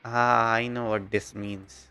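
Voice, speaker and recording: Bright-voiced Indian man livestreaming. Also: mid-range voice, little pitch movement, slow, smooth, faint music behind. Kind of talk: casual gaming livestream chat with viewers.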